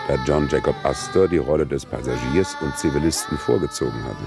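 A solemn chronicler narrates a radio drama. The voice is extremely low, and the delivery is measured and deadpan. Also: male voice, over music. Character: solemn male chronicler of a gentleman's last voyage. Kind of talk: scripted radio play narration.

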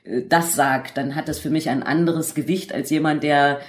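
A woman's voice: sits low, fairly even intonation, normal speed, reverberant. A woman hosting a conversational podcast interview, putting her views forward while searching for words and restarting. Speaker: host, interviewer.

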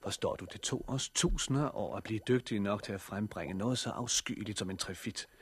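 An actor playing a steady, practical survivor and family protector in a 1960s radio drama. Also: male voice, lowish voice, slightly rough. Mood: calm, resolute.

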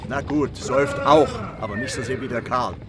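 A man playing a genteel, curious and chatty gentleman traveller in a period radio play, theatrical and jovial in manner. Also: medium pitch, normal speed, close to an everyday voice. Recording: noisy moving-coach sound effects.

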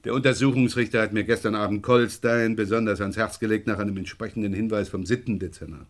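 An actor playing a middle-aged police inspector in a German radio crime drama, gruff, probing and impatient. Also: male voice, lowish voice, steady tone, fast, close to an everyday voice. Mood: brisk, impatient. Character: brisk, probing French police inspector, the boss.

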